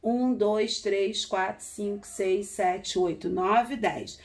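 A woman in her forties teaching young children from a classroom, counting slowly in a sing-song way and repeating each number. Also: medium pitch, steady tone. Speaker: primary school teacher. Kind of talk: remote lesson for young children. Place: classroom with wall charts, indoors.

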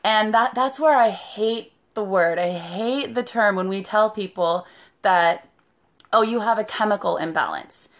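A woman in her thirties, a therapist, with a raspy, hoarse voice, talking casually to viewers on a live stream and greeting them by name. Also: mid-range voice, a little slow. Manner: chatty, greets viewers by name mid-sentence. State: hoarse from shouting. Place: living room with sofa, indoors. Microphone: phone camera at arm's length.

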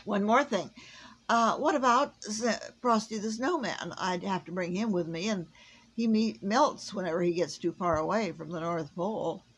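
A woman's voice: mid-range voice, normal speed, slightly rough, natural voice, poor audio. A woman voicing a jolly old man in a storybook, hearty and commanding, with exclamatory delivery. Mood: jolly, pleased.

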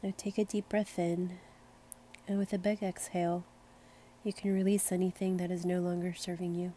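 A woman leading a guided meditation in a gentle, soothing, unhurried manner. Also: on the low side, flat delivery, a little hiss or hum. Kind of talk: slow guided meditation narration.

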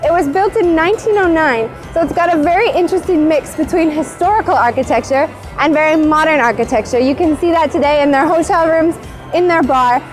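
Female travel host, thirties, high voice, keen explainer to camera, clear music bed underneath. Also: fairly quick. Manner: enthusiastic, explanatory. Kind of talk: upbeat travel vlog presenting to camera. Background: background music.

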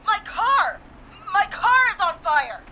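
A woman's voice: very high-pitched, very animated, slow, heavy background noise, exaggerated voice. A woman acting a resolute but frightened police dispatcher in a video game, urgent and hesitant under stress. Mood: panicked, shocked.

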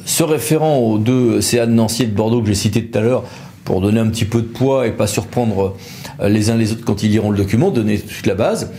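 A man's voice: on the low side, fast, dark tone, a little hiss or hum. A man explains legal matters to camera in a conversational, loosely improvised way. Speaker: lawyer presenting an explainer video.

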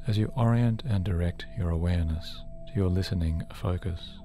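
A man narrating a guided sleep hypnosis session in a soothing, measured, deliberate way, as a hypnotherapist. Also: low voice. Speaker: hypnotherapist host. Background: background music.

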